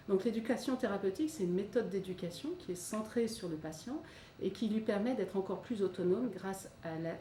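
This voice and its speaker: A woman's voice: medium pitch, a little fast, dark tone. A woman giving an explanatory presentation of a health programme as its presenter. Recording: roomy, distant mic, faint noise.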